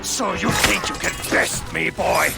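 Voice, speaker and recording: An actor playing a proud, bitter French sea captain in a video game, mocking and theatrical, with a French accent. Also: male voice, sits high, unhurried, vocal fry, exaggerated voice. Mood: mocking, combative. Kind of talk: dramatic video game acting. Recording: loud music under the voice.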